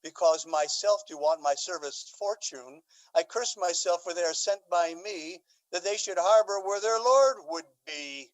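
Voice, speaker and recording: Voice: a little slow, few pauses, lightly acted, poor audio. An actor playing a wrathful, imperious duke and outraged father in a Shakespearean radio drama, declamatory and scornful. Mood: haughty, suspicious. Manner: declamatory, scornful, booming when angered.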